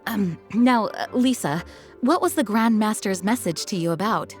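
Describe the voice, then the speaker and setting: Woman playing young female knight leader, video game, medium pitch, composed, earnest, polite, faint music behind. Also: moderate pace, lightly acted. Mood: considerate.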